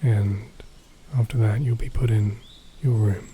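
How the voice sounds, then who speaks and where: A man playing a gentle, reassuring captor in a soft, intimate ASMR roleplay, pausing often as he speaks. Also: lowish voice, steady tone, slight background noise, natural voice. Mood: calm, reassuring. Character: gentle male captor soothing a kidnapped elf.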